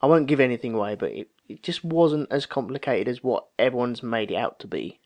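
A man's voice: medium pitch, fairly quick. A man in his thirties chatting casually and telling anecdotes, acting out the remarks he quotes. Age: 30s. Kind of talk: casual chat, storytelling.

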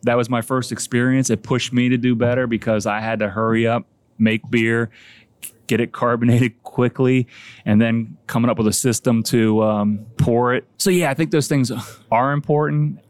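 A man's voice: medium pitch, steady tone, moderate pace, no echo. A man, a homebrewer guest chatting casually on a podcast, rambling and self-deprecating with many restarts.

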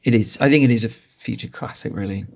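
Laid-back dry-humored podcast guy, middle pitch, slightly muffled audio. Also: quick pace, gravelly, darkish tone. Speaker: podcast co-host. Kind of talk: casual chat.

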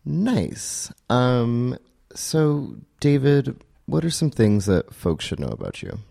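A man's voice: medium pitch, huge pitch swings, slow, gravelly, mellow. A man hosting an interview show, asking laid-back, rambling questions. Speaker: podcast host. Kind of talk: casual interview.

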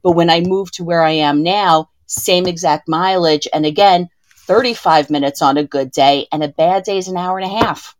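A woman's voice: on the low side, moderate pace, no echo. A woman from the New York area telling anecdotes in casual conversation.

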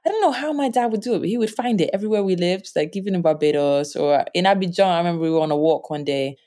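A young woman answering interview questions, telling personal stories in a relaxed, chatty way and laughing often. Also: rapid-fire. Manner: animated, laughs often, jumps up in pitch when quoting others. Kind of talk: reflective conversational storytelling.

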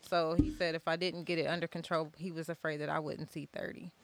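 A woman's voice: low-pitched, level tone, brisk, slight background noise. A woman in her forties, a podcast guest, talking candidly and joking about her life. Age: 40s. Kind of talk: casual chat.

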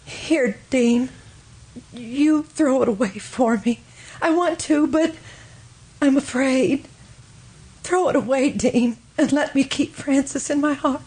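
Woman acting a high-voiced, slow, grieving widow. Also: a little mellow, slight background noise, rough recording. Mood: wistful grief. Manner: halting, wistful, sorrowful. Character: grieving, fragile widow clinging to memories. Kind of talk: old-time radio drama acting.

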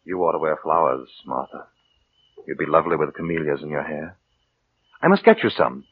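A narrow-band old radio recording of a scheming, ingratiating suitor. His husky voice is animated and insinuating, flattering in classic radio drama style. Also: extremely low pitch, brisk, heavily acted. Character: smooth-talking, scheming, flattering suitor and weak writer. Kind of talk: 1940s-50s radio drama acting.

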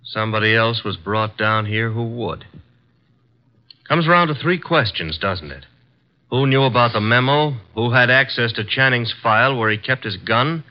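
A cocky young assistant detective, voiced by a man trades brisk wisecracks in an even, middle-pitched voice. The sound is a dull, lo-fi 1950 radio transcription. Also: close to an everyday voice. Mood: eager reasoning. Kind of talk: 1950s radio drama acting.

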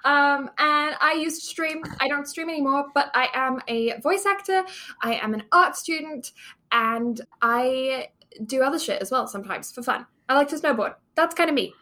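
A woman's voice: sits high, normal speed, sharp tone. A young woman with a blended English and Canadian accent, co-hosting a casual podcast chat and laughing often. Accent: mixed English and Canadian. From England, raised in Canada. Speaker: podcast co-host.

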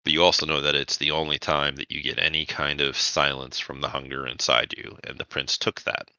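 A man narrating a tabletop roleplaying game as game master, in measured, deliberate phrases with pauses. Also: rumbling low, little pitch movement, sharp tone, no echo, dull audio.

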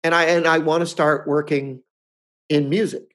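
Rambling man in his fifties, higher voice. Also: level tone, moderate pace, a bit bright. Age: 50s. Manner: rambling, restarts often, chuckles. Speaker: podcast co-host. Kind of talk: casual chat.

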